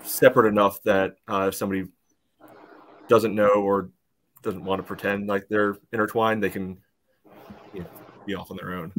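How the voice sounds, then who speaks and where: An American man giving his view casually on a soccer podcast, measured and peppering it with small conversational hedges. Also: fairly low pitch, level tone, fairly bright tone, a little hiss or hum. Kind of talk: casual sports podcast discussion.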